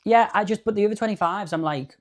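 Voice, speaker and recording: A man in his thirties co-hosting a podcast, chatting in an animated, campy way. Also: high voice, fast. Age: 30s. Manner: animated, chatty, camp asides. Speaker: podcast co-host. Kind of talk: casual chat.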